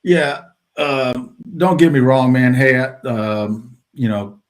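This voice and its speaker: A middle-aged man from the United States, a retired soldier, telling his career story as a podcast guest in a relaxed way with many fillers. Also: medium pitch, takes it slowly. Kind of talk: casual interview talk.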